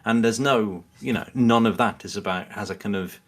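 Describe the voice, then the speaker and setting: A man with a slightly low voice talks as a guest on a podcast. He explains his views casually and loosely, circling round each point with many qualifications. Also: moderate pace. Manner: rambling, hedging, acts out imagined quotes. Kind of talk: casual chat, explaining opinions.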